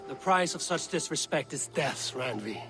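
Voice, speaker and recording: A commanding Viking raider performed by a man for a cinematic game. The voice sits fairly high, with formal, deliberate, archaic phrasing and steadfast pride. It rings with long reverb over music. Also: expressive, natural voice. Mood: grave. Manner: oratorical, deliberate.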